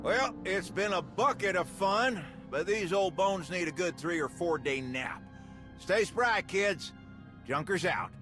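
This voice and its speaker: High, drawling old junker. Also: male voice, expressive, unhurried, music in the background. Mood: jovial, weary. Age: older. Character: spry, jovial, weary old junker.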